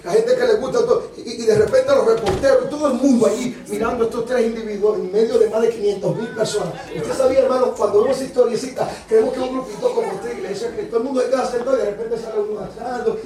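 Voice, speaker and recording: High-voiced Dominican preacher in echoey church. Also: male voice, fast talker, hardly any pauses, crowd noise. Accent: Dominican Spanish. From Dominican Republic. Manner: animated, jokes with the congregation. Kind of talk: lively sermon. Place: church hall. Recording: echoey hall from far off, congregation.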